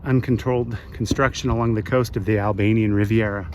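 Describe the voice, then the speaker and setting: A man in his late fifties from the west coast of the United States, narrating a travel vlog in a measured, reflective way. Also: on the low side, fairly even intonation, a little mellow, slight background noise. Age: 50s. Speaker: travel vlogger. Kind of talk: scripted voice-over narration.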